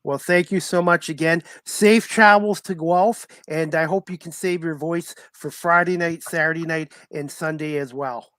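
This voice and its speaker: Man, sports podcast host, slightly high pitch, dry sound, casual interview chat, informal, repetitive phrasing. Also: fairly even intonation, normal speed, few pauses, a bit bright, no room sound. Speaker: sports talk show host.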